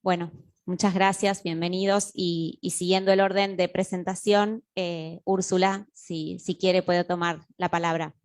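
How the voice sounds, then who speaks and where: A woman's voice: on the low side, level tone, a little mellow. Argentine woman moderating a conference panel. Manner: measured, with frequent filler hesitations. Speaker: panel moderator, university lecturer. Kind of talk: formal conference introduction. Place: university lecture room.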